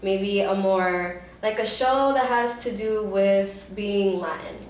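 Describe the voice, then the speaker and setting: A woman's voice: medium pitch, slow pace. A young woman talking casually and expressively as an interview guest. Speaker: interview guest, stage actor. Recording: very echoey room, distant mic, faint noise.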